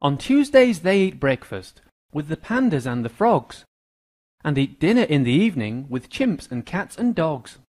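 A man's voice: a little high, sing-song, normal speed, a little mellow. A man with an American accent reading a scripted rhyming story for children. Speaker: narrator.

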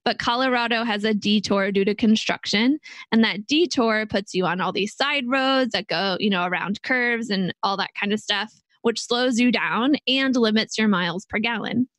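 A woman's voice: mid-range voice, normal speed, a bit bright, dry recording. Woman co-host explaining money, dry sound. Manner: walks through a worked money example step by step. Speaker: podcast co-host. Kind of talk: casual explanatory chat.

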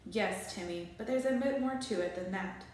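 A kindly, patient man in a children's story voiced by a woman. He reasons calmly and gently. The room around him echoes strongly. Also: medium pitch, normal speed, slight background noise, lightly acted. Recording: very echoey small bare room.

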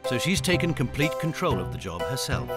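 A man's voice: medium pitch, sing-song, a little fast. A man with a British accent delivering scripted documentary narration. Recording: music under the voice. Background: background music.

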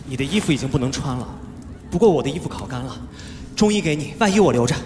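A male voice actor playing a playful, chatty, feverish young swordsman in a live audio drama, whiny and coaxing with drawn-out words. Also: mid-range voice, animated, background noise, natural voice. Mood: flustered. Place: large theatre stage, indoors. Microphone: handheld microphone. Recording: echoey large hall, music behind.

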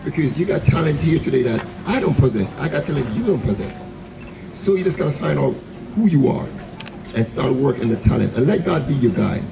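A young man from Jamaica, an author, giving a gentle, preachy motivational talk into a handheld mic outdoors. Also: fairly high pitch, lively intonation, a little fast, creaky. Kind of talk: motivational speech. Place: outdoor stage under a tent. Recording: noisy outdoor camera recording.

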